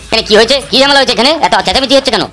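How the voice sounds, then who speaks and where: A man's voice: very high-pitched, very fast, pushed and bright. A man voicing a stern, indignant villager who scolds and demands answers in a comedy cartoon.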